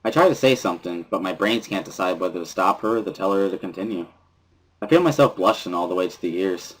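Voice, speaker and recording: A man's voice: sits low, animated, fast talker, husky, low-quality recording. A man giving an amateur first-person dramatic reading of a visual novel as its narrator. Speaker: amateur reader and narrator of a series. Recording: prominent background music under voice. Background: instrumental music.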